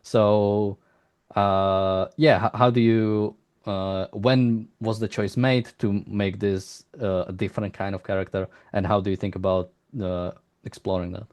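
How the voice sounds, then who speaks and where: Low-voiced, halting male interviewer. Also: steady tone, darkish tone, clean tone. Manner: hesitant, halting, rambling, often restarts sentences. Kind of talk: unscripted interview, long exploratory questions.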